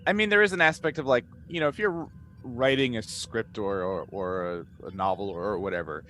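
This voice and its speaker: A man in a casual panel chat as a game master, rambling and halting as he thinks aloud. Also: on the low side, a bit bright. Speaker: podcast cast member and game master. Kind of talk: casual panel chat answering fan questions. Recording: noticeable background noise.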